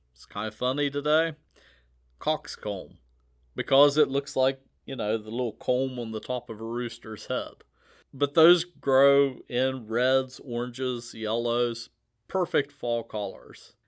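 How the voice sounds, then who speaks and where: A man's voice: mid-range voice, a bit bright, smooth. A man hosting a casual gardening podcast, chatty and easygoing, who laughs at his own asides. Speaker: host.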